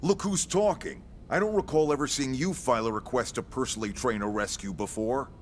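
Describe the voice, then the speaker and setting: An actor playing a gruff, brash middle-aged military commander in a video game, with an American accent, a gravelly voice and a blunt, folksy, salty way of talking. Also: male voice, mid-range voice, brisk, slight background noise, lightly acted. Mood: teasing, brash. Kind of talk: scripted video game cutscene acting.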